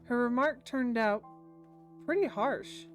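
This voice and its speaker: Casting: female streamer narrating a visual novel, expressive dialogue, fairly high voice, light music behind. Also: slow. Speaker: game streamer. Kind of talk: reading visual novel translation aloud with commentary.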